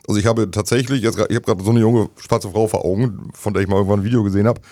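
A man co-hosting a casual discussion, talking quickly and restarting sentences often. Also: fairly low pitch, steady tone, warm tone, no echo.

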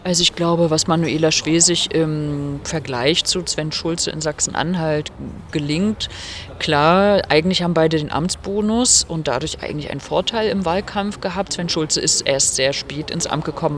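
A woman's voice: low voice, fairly even intonation, normal speed, slight background noise. A woman from Rostock, an author giving earnest, explanatory answers in an interview, recorded at a venue before her reading. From Germany, Rostock.

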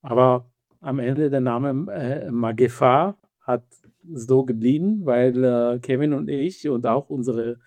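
A man's voice: mid-range voice, a little mellow, no echo. Hesitant man with an Israeli accent. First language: Hebrew. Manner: halting, many fillers, non-native grammar. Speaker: band member. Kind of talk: interview answers.

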